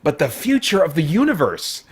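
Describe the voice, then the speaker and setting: A man hosting a casual spoken movie review, rambling along with jokey, animated asides. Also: a little high, normal speed, slightly rough. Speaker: film reviewer and host.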